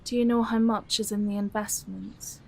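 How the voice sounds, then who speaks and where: An actress with a British accent playing a caring, frank middle-aged single daughter in an intimate audio drama. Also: female voice, mid-range voice, level tone, normal speed, creaky, dark tone. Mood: gentle, practical.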